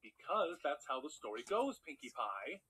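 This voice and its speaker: A man's voice: a little high, very animated, normal speed, pushed and bright, slightly rough, full character voice. A man playing a patient but exasperated cartoon storyteller, reading a bedtime tale as narrator. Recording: music behind the voice. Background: music.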